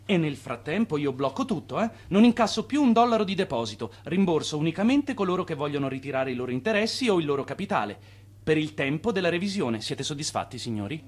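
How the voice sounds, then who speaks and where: An actor in a radio drama playing a self-assured, persuasive Italian immigrant financier and swindler with a showy manner. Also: male voice, a little high, big pitch swings, fast. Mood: smooth, assured.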